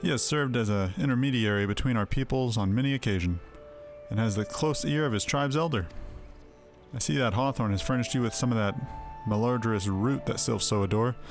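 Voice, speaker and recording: A man plays a grave, dutiful official of a forest realm in a fantasy game. The delivery is formal and earnest at a medium pitch, over clearly audible music. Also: fairly quick, lightly acted. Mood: solemn, earnest. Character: solemn, dutiful forest official briefing an envoy.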